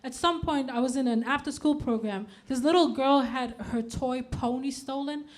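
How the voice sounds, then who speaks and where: A woman in her thirties from Denmark, performing a stand-up comedy set on a club stage, deadpan with punchy outbursts. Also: sits high, normal speed.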